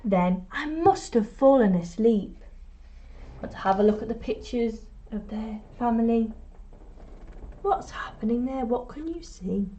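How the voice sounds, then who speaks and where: Female primary-style storytime reader, higher-pitched and very unhurried, expressive delivery for young children, on a slightly dull recording. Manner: expressive storytelling with deliberate pauses and emphasis; prompts young listeners with questions. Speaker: teacher reading a picture book to children. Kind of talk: storytime read-aloud.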